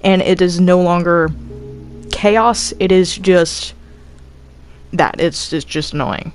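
A woman's voice: on the low side, takes it slowly, faint music behind. Exasperated woman in casual voice chat. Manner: exasperated, earnest, swears freely. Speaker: player in a group Minecraft roleplay.

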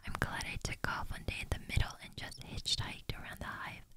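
A woman's voice: fairly quick, natural voice. A woman whispering an eager young bee guy. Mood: excited. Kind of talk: ASMR script reading.

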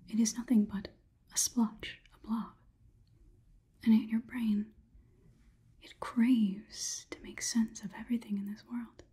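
A young woman whispering an ASMR roleplay close to the mic as a coolly logical robot overlord, in a halting, clinical, deliberate way. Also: fairly high pitch, warm tone. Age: young adult. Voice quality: breathy, unvoiced whisper. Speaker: ASMR performer. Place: small indoor room. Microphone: close microphone.

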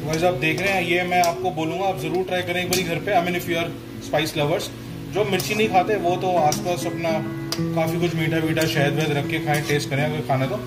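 A man's voice: fairly high pitch, fairly even intonation, a little fast. A man walking viewers through a home cooking recipe in a casual, chatty way, mixing in English phrases and calling them guys. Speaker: cooking channel host. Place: home kitchen at a gas stove. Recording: echoey kitchen with loud background music. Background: sizzling oil and stirring, music.

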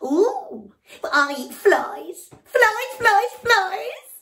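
A woman voicing a noisy, friendly little male frog who shouts everything in a high voice. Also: very animated, drawn-out words, exaggerated voice. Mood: shouting excitedly.

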